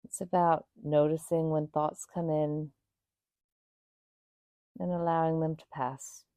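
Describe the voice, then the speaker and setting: A woman guiding a meditation in a soothing, unhurried way, speaking in short phrases with pauses between them. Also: low-pitched, flat delivery, dark tone, no room sound, clean studio sound. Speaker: yoga nidra meditation guide. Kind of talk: guided meditation.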